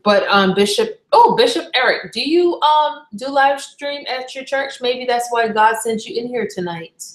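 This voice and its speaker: A woman's voice: a little high, lively intonation, normal speed. A young woman from Barbados chatting casually on a live stream, candid and rambling, often correcting herself mid-sentence. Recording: echoey room.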